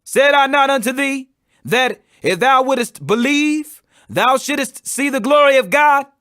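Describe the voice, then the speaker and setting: A man's voice: very high-pitched, unhurried, sharp tone, no echo, clean studio sound, pitched above the performer's own voice, heavily acted. A man voicing a fervent, authoritative holy teacher with commanding declamation in a dramatized scripture reading.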